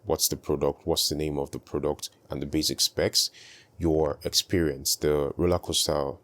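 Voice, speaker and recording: A young man with a very deep voice, speaking softly and calmly in a casual tutorial vlog. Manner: soft-spoken, calm and unhurried. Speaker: video creator.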